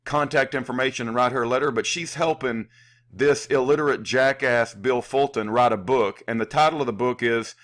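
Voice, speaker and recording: A man hosting an unscripted political commentary, indignant and insulting, halting and repeating himself as he goes. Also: medium pitch, fairly bright tone. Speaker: video host, activist commentator.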